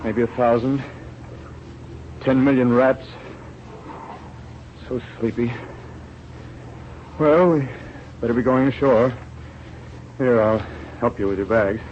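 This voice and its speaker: An actor playing a weary, matter-of-fact parish doctor in an old radio drama. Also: male voice, mid-range voice, steady tone, slow, pauses often, close to an everyday voice. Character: weary, sleepy parish doctor in plague town. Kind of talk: 1930s radio drama acting. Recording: muffled, noisy old radio recording.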